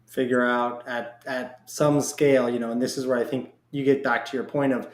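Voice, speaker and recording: A man's voice: mid-range voice, level tone, moderate pace. A man hosting a casual podcast interview, speaking informally and circling around his questions in a rambling way. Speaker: podcast host. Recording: echoey, roomy sound.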